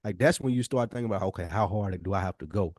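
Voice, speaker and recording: Man, fairly low voice, casual podcast co-host, informal emphatic repetition, advice-giving, dry sound. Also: fast, a little mellow, no echo.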